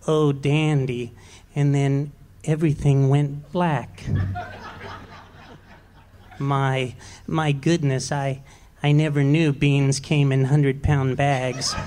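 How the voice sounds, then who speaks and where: Guy doing a meek henpecked hubby, soft and slow, kinda timid, old-time radio play. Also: medium pitch, level tone, a little hiss or hum, natural voice. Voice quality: soft, mild and hesitant. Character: meek henpecked middle-aged man, timid and mild. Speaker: amateur actor. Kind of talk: live stage radio play.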